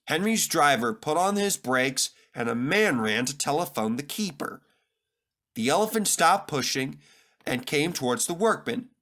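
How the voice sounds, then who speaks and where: A man reading a children's story aloud as narrator, in an expressive, dramatic, scripted style. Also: fairly high pitch, unhurried.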